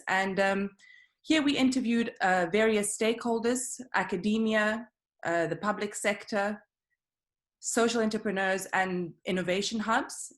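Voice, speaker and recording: A woman giving a scripted student project presentation, walking steadily through slides with some fillers. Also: mid-range voice.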